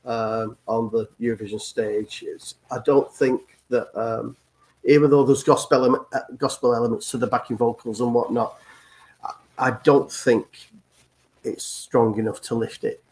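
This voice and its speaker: A man's voice: medium pitch, steady tone, moderate pace, a little mellow, low-quality recording. A man on a fan panel, teasing a co-host and laughing, with many hesitations. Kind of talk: casual panel chat.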